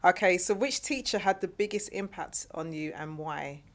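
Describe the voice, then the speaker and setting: Low-voiced woman hosting a chat. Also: expressive, moderate pace. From South London, England. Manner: friendly and chatty, with many fillers. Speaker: podcast host. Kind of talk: casual interview chat.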